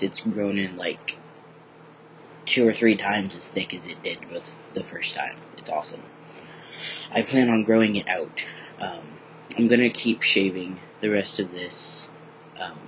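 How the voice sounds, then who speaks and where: A young man vlogging in a bright room at home, rambling casually with lots of ums, a little groggy from just waking up. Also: low voice, a little hiss or hum. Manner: rambling, many ums, relaxed and candid. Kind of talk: casual personal video diary. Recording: dull lo-fi webcam audio.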